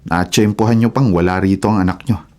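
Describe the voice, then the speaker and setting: A man's voice: fairly low pitch, steady tone, fast talker, a little mellow, natural voice. A man acting as an indignant, righteous husband in a Filipino radio drama. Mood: respectful.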